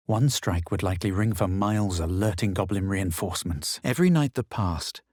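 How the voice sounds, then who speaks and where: A man playing a self-assured, aloof adventurer hero in a video game, speaking with measured, dramatic delivery. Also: low-pitched, a little mellow. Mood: grave, narrating. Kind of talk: video game voice lines, scripted narration and barks.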